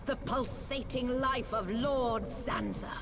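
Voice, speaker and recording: A taunting villainess from a video game, speaking slowly. She sounds theatrically courteous and smug over a noisy rumble. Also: steady tone. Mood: smug, menacing. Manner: mock-courteous, slow, theatrical. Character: haughty, taunting villainess serving a god. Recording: clear background rumble and noise.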